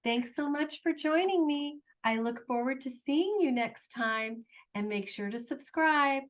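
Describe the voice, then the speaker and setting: A woman who hosts a children's storytime, reading a picture book aloud in an expressive, animated way. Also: sits high. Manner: animated, unhurried delivery. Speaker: children's storytime host, reader.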